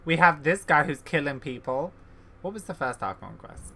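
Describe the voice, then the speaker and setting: A man's voice: on the high side, lively intonation, normal speed, gravelly, pushed and bright, breathy edge. A man giving casual gameplay commentary as a streamer, sometimes reading dialogue aloud. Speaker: game streamer.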